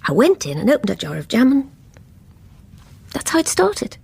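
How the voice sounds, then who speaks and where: An actress playing a guarded, troubled middle-aged English woman, telling her story haltingly and reflectively in a radio drama. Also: fairly high pitch, huge pitch swings, vocal fry, darkish tone, slightly rough, no echo, exaggerated voice. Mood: wry, reflective. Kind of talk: first-person radio drama narration.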